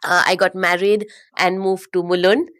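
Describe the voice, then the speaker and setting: A middle-aged woman with an Indian English accent speaks in a fairly low voice. She talks as a guest in a relaxed interview, pausing often with fillers and small laughs. Her delivery is gentle and hesitant. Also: smooth. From Mumbai, India. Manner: warm, hesitant, many fillers and soft laughs. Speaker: guest, connection coach. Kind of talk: casual interview conversation.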